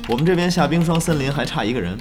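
Man acting an animated, flattering young gamer guy. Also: on the high side, rapid-fire, long reverb tail, music in the background, close to an everyday voice. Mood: friendly, persuasive. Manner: ingratiating, animated, with muttered exasperated asides. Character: eager young male gamer and guild member, flattering, exasperated haggler. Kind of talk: Mandarin audio drama acting.

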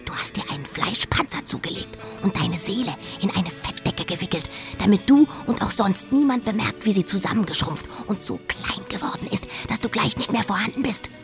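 A woman's voice: mid-range voice, lively intonation, brisk, hardly any pauses, music in the background, lightly acted. An actress playing a proud, imperious, cutting queen in a radio drama, haughty and declamatory. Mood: contemptuous.

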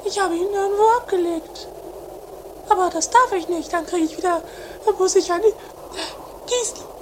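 A woman's voice: extremely high pitch, moderate pace. A woman playing a scatterbrained, whiny, dramatic sister in a trashy comedy radio play. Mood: muddled, plaintive. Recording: noisy amateur 1980s radio play recording.

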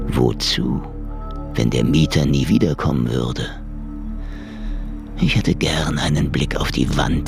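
An actor playing a world-weary, middle-aged detective in a noir radio drama, laconic, wry and brooding, with a gravelly voice. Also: male voice, rumbling low, heavily acted. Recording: music under the voice.

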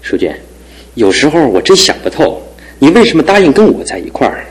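A man's voice: fairly high pitch, big pitch swings, a little fast, rough recording. An actor voicing a good-natured, joking young man who is a bit pampered, in a studio radio drama. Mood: earnest. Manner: jokey and warm-hearted, earnest when serious. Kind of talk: 1960s-70s studio radio drama acting.

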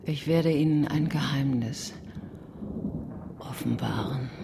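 An actress playing a worldly, bitter French marquise in a radio drama, eloquent, ironic and probing. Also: female voice, rumbling low, slow, creaky, noisy background, exaggerated voice. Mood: confiding, wry. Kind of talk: German radio drama acting.

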